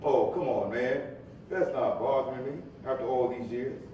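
A man's voice: moderate pace, warm tone, close to an everyday voice. Echoey, playful preacher on stage , man acting. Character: famous civil rights preacher, playful, teasing, slightly jumpy. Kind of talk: staged play reading, conversational dialogue. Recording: echoey hall, distant, faint rumbling noise.

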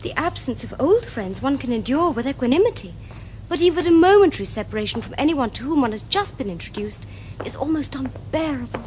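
A woman's voice: high voice, sing-song, a little fast, a little mellow, a little hiss or hum. An actress playing a prim, romantic young Victorian lady, speaking precisely and demurely with an upper-class English accent. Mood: tender, formal. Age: young adult. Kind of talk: period stage comedy acting for television.

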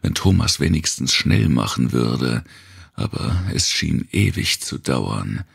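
A man reading a dark thriller audiobook in a measured, deliberate way, with a gravelly, husky voice. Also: extremely low pitch, sing-song, no echo, clean studio sound. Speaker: audiobook narrator. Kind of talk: scripted narration of a dark post-apocalyptic thriller.

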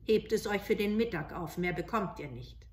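A woman's voice: mid-range voice, normal speed, darkish tone, natural voice. A woman voicing a harsh, heartless fairy-tale stepmother with a stern, commanding manner. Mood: scolding. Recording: roomy home recording.

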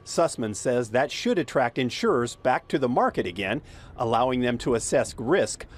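Lively older male news reporter. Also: mid-range voice. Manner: measured, lively reporting delivery. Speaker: field reporter.

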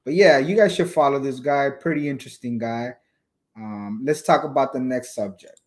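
A man's voice: mid-range voice, moderate pace. A young man vlogging from a small room at his computer, reading crypto news aloud and chatting casually to his viewers. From United States. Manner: casual, chatty, addresses viewers as you guys.

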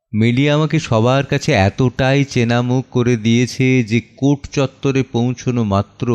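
A middle-aged father, played by a male actor, carries his hurt through an audio drama monologue. He is weary and brooding, speaking in a mid-pitched voice with deliberate, theatrical weight and heavy pauses. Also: darkish tone, lightly acted. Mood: wounded, brooding. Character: weary, wounded father of an assaulted daughter, brooding.

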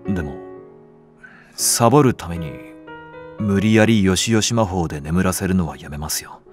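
A man's voice: deep voice, normal speed, faint music behind, lightly acted. An actor playing a lazy, blunt young male nursery teacher who talks in a curt, casual way. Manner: curt, casual and a bit sulky. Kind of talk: anime voice drama acting.

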